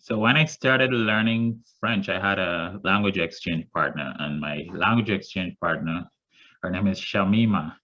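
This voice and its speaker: A man with a very low voice chairs an online meeting and passes the turn from speaker to speaker. His audio is dull and lo-fi, as it often is on a video call. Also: moderate pace, sharp tone.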